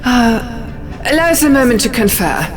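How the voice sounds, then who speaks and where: A woman's voice: a little high, sing-song, moderate pace, voice pushed up. A woman voicing an earnest male king in an audiobook. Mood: hesitant. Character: earnest young king, male, conferring with companions. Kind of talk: audiobook character voice. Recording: music behind the voice.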